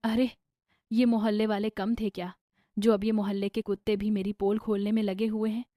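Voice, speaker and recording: A woman voicing an emotional, sharp-tongued woman of the household in an animated family drama, expressive and complaining. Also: mid-range voice, normal speed, no room sound, clean studio sound, lightly acted. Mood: angry scolding.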